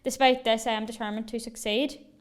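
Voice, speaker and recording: Young woman, from Northern Ireland, clear voice, flat delivery, measured speech, video call. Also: on the high side. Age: young adult. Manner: measured, deliberate reading. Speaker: rally speaker. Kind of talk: prepared speech read aloud.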